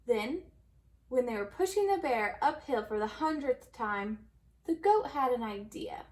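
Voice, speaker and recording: A woman reading a children's story aloud in an expressive storytelling manner. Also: on the high side, unhurried. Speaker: storybook reader.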